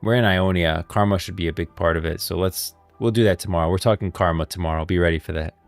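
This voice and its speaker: A man's voice: sits low, fairly even intonation, faint music behind. A man doing casual live-stream commentary on game lore, rambling and thinking aloud as he goes. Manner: rambling, thinking aloud, pauses to read out lines.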